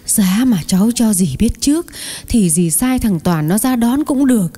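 A woman's voice: a little high, animated, normal speed, mellow, breathy edge. A woman playing a kind-hearted, chatty older village aunt who exclaims and sighs in an audio story. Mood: pitying, fussing. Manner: exclaiming, chatty, sighing over misfortunes. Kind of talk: acted dialogue in an audio story.

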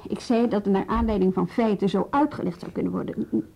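A woman's voice: mid-range voice, gravelly, warm tone. A woman acting in a radio drama as a frank, level-headed policewoman, speaking carefully and matter-of-factly. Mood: firm, level. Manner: careful, deliberate, matter-of-fact. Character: policewoman, level-headed, frank, guarded adviser to a young suspect. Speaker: police colleague. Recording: dull radio play recording.

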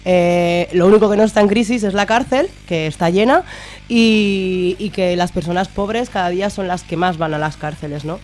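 A woman's voice: fairly low pitch, normal speed, a little mellow. A woman with a Spanish accent from Spain speaking as an activist guest in an informal radio interview, hesitant and colloquial, often checking for agreement. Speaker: activist guest, member of a citizens' assembly.

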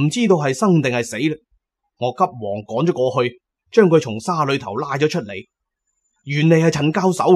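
A man's voice: medium pitch, husky. A man narrating a first-person adventure story as a dramatic audiobook storyteller.

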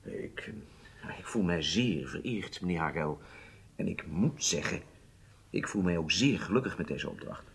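An actor playing a polite, modest spy recruit in a radio drama, with a husky voice. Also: male voice, sits low, expressive, unhurried, full character voice. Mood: honoured, attentive.

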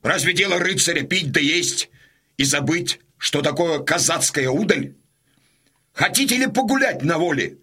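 A man's voice: fairly high pitch, expressive, normal speed, sharp tone, slightly rough, exaggerated voice. An actor playing a bold Cossack man who goads a crowd toward war in a declamatory audio drama style. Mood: rousing. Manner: declamatory, goading. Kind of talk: Russian audio drama acting.

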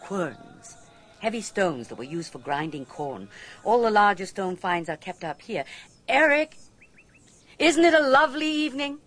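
An actress playing an elegant, brittle archaeologist's wife in a radio drama, gracious and poised but uneasy. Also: female voice, medium pitch, big pitch swings, unhurried, a bit bright. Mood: composed.

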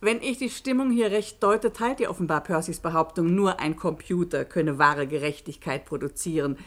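Actress doing middle aged lady academic for a scifi radio drama, slightly low pitch, precise formal lecturing, serious and cautionary. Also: moderate pace, close to an everyday voice. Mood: firm, controlled. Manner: articulate, formal, didactic. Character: middle-aged woman academic, measured, earnest, firmly cautionary seminar leader. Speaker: actor in a radio play. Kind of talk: German science-fiction radio drama acting, lecturing.

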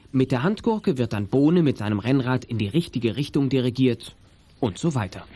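A man's voice: mid-range voice, dark tone, slight background noise. A man reading scripted narration for an audio drama.

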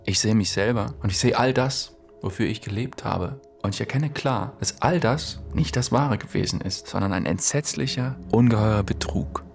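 A fairly deep male voice, in his forties, playing a reflective man facing death. The delivery is deliberate and introspective, a radio play monologue with faint music underneath. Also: gravelly. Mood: bitter, anguished. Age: 40s. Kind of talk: theatrical audio drama monologue over music.

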